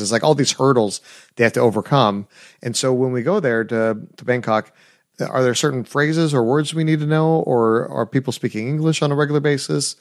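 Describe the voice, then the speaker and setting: A man's voice: mid-range voice, dry recording, studio quality. A man hosting a casual interview, asking conversational questions and often restarting his sentences. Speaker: podcast host.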